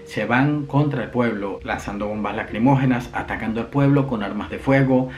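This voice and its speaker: A man's voice: mid-range voice, moderate pace, muffled recording, soft background music. A young man from Venezuela with a Venezuelan accent, talking earnestly to camera as a vlogger from a room at home, gesturing with his hands. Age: young adult. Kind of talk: casual explainer to camera.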